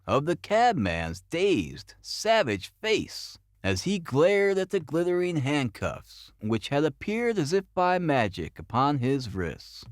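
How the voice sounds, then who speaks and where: A man reading a classic novel as scripted narration, in a formal, expressive storytelling manner. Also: fairly high pitch, slow pace, few pauses, no echo, clean studio sound. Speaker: audiobook narrator.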